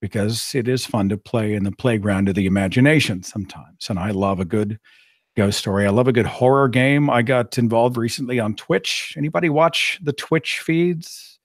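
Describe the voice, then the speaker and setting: A middle-aged man with a raspy voice, hosting a casual live-stream chat and rambling along with plenty of fillers. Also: mid-range voice, moderate pace, dry recording, studio quality. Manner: rambling, conversational, with frequent fillers. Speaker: podcast host.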